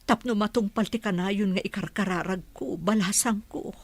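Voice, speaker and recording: A woman's voice: mid-range voice, normal speed, no echo, lightly acted. A middle-aged woman with an Ilocano accent acting an affectionate, eager village mother in a radio drama.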